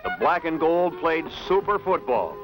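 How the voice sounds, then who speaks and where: Higher-pitched American male newsreel narrator. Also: sing-song, normal speed, poor audio. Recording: 1970s film soundtrack with music. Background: music.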